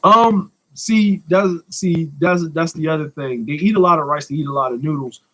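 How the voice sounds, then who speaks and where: A man's voice: a little high, normal speed, fairly bright tone, rough recording. An American man in his thirties chatting casually as a live stream host, answering questions in a blunt, slangy, joking manner with some swearing. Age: 30s.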